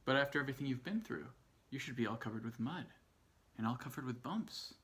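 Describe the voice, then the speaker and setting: A man's voice: medium pitch, animated, fairly quick, a bit bright. A man voicing anxious, astonished ants in a storybook, exclaiming with urgency. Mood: puzzled. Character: anxious, astonished fellow ants calling up to a friend.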